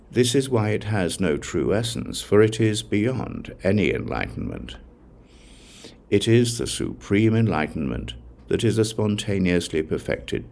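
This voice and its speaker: A man's voice: sits low, creaky, darkish tone. A man giving a solemn, declarative scripted reading of sacred texts as an audiobook narrator.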